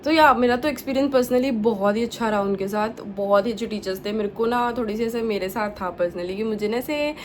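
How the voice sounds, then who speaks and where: A woman's voice: on the high side, fairly quick, few pauses, slight background noise. A young woman from India, a native Punjabi speaker, chatting casually in a vlog and sprinkling English words and fillers into her talk. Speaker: vlogger. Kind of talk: casual chat.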